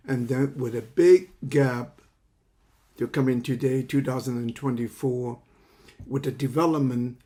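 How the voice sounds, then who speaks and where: Halting older man. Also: medium pitch, a little mellow. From China. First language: Cantonese. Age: 70s. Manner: halting, repeats words while searching for phrasing. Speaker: counseling psychology teacher. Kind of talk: lecture introduction.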